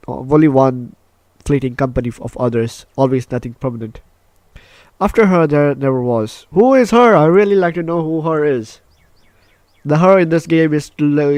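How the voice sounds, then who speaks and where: A man's voice: mid-range voice, darkish tone, no room sound. A man hosting a casual let's play, reading game text aloud with commentary and often stumbling over and repeating phrases. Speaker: gaming video host.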